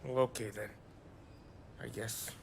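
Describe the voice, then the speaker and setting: A man's voice: on the low side, flat delivery, a little slow. An actor playing a drunk male gangster buddy who rambles and slurs. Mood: drunken. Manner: slurred, rambling. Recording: game audio with clear background noise.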